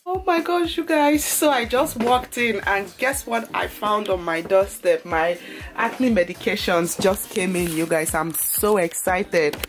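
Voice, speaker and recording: A woman's voice: medium pitch, a little slow, faint music behind. A woman in her thirties, a mom vlogger, chatting heartfelt and casual to her viewers with lots of filler words, filmed on a camera held at arm's length. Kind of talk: casual vlog talk to viewers.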